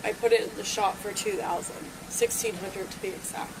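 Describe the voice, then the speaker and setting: A woman's voice: medium pitch, fairly even intonation, brisk. Tipsy, upset young woman on bodycam. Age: 20s. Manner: tipsy, upset, swearing, rambling. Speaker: bar patron in a police stop. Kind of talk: emotional rambling talk. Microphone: police body camera. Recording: noisy body camera audio.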